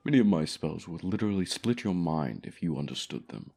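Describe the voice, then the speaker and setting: A man's voice: sits low, expressive, a little fast, gravelly, dark tone, breathy edge, heavily acted. A man voicing a haughty, commanding dragon in a fantasy ASMR roleplay, speaking formally with pompous condescension. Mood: stern, commanding. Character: haughty male dragon, commanding keeper of a human pet.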